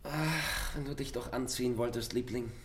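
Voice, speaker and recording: A man playing a gentle, apologetic young lover in a radio play. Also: medium pitch, normal speed, a little hiss or hum, lightly acted. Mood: placating. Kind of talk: German radio play acting.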